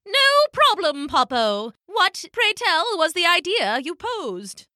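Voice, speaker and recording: A woman's voice: very high voice, sing-song, slow pace, bright, forceful tone, no room sound, clean studio sound, pitched above the performer's own voice, heavily acted. A woman voicing an elderly female penguin who is fussy and indignant, with exclamatory, alliterative phrasing, for a children's story. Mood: firm.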